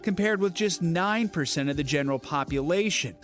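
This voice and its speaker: A man narrating a news feature as correspondent, measured and serious. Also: on the high side, soft background music.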